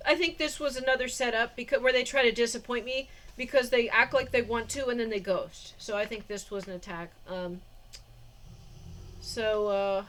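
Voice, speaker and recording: Rambling woman with a high voice. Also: fairly even intonation, moderate pace, fairly bright tone, rough recording. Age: 40s. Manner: rambling, laughs often, many fillers. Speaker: vlogger. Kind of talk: casual diary vlog.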